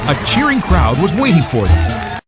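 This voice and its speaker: Animated male storybook narrator over music. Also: a little high, moderate pace. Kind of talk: scripted children's story narration.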